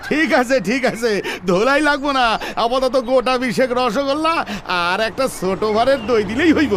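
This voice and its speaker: A man's voice: very high-pitched, moderate pace, noisy background. A man playing a cheeky, stingy husband in a comic cartoon, theatrical and wheedling, with an East Bengali accent. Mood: defensive. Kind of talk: exaggerated comic cartoon acting. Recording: music behind the voice.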